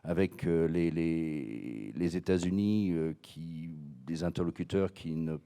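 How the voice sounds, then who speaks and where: A man's voice: deep voice, slow, vocal fry, mellow, clear, resonant tone. A man from France, head of a cognac firm, speaking hesitantly on a conference panel with many fillers and repeated words. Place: conference hall.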